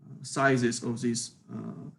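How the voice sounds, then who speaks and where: A man's voice: mid-range voice, flat delivery, slow, gravelly, fairly bright tone. A middle-aged man from Ukraine, giving a university physics lecture in a hesitant way, with many fillers and careful phrasing.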